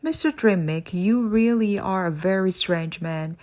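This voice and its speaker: A woman's voice: medium pitch, measured pace, a little mellow, lightly acted. Woman playing an imperious, hot-tempered villainous master. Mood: dry, haughty. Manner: imperious, shrieking when thwarted. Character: stern dry villainous workhouse master, adult man, hot-tempered. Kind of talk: audiobook character voice.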